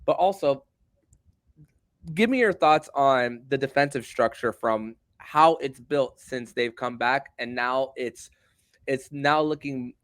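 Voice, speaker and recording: A man's voice: medium pitch, fairly bright tone, no echo. A man hosting a sports podcast in an emphatic, wordy way. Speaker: podcast host.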